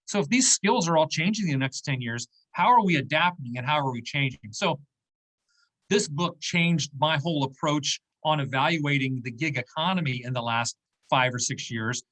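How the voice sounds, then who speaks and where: A man's voice: a little high, moderate pace, fairly bright tone, rough recording. Man in his fifties explaining things. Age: 50s. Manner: explanatory, checks in with "okay?" and "right?". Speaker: interview guest, business owner. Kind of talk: conversational interview.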